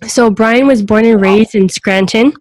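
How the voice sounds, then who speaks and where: A woman's voice: on the high side, unhurried, a little mellow, dry recording, rough recording. Woman co-host reading a guest intro. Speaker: podcast co-host. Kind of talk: casual chat.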